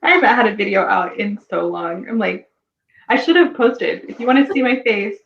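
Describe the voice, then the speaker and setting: A woman's voice: mid-range voice, expressive, moderate pace, vocal fry. A woman chatting casually as a guest, heard through a roomy, dull, lo-fi recording. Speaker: guest book video creator. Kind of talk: casual chat. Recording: roomy echo, dull lo-fi sound.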